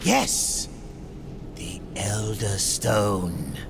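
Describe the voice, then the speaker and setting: A man's voice: sing-song, extremely slow, vocal fry, heavily acted. An actor voicing a crafty male otherworldly schemer in a video game, with an arch, theatrical manner. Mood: eager. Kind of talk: video game cutscene acting. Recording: rumbling noisy background.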